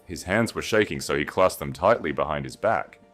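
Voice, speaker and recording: Very low-voiced man narrating dramatically. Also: lively intonation, moderate pace, a bit bright, faint music behind. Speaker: audiobook narrator. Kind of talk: dramatic scripted story narration.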